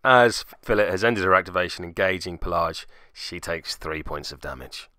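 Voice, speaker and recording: A man's voice: sits low, lively intonation, moderate pace, pushed and bright. A man narrating a casual play-by-play recap of a tabletop game as its host, with wry, self-deprecating asides.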